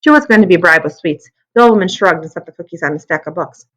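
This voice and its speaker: A woman narrating a children's book aloud as an expressive storyteller. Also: lowish voice, fast, muffled recording.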